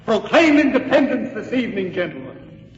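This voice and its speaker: An actor playing an impassioned colonial delegate making a grave, oratorical plea in an old radio drama. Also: male voice, high-pitched, sing-song, normal speed. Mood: pleading, urgent. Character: impassioned colonial delegate pleading against independence. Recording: dull old radio recording, echoing hall.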